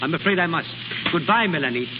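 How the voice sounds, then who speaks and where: An actor playing a suave, coolly practical gentleman who speaks politely and with control, in a 1930s radio drama. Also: fairly high pitch, animated, loud music behind the voice, lightly acted. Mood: cool, admonishing. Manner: polite, measured, admonishing. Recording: hissy, dull 1930s radio recording.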